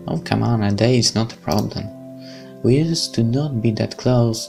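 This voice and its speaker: A man's voice: mid-range voice, creaky, a little mellow. A young man with a Polish accent, reading a visual novel aloud and chatting, stumbling over words. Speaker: let's play host.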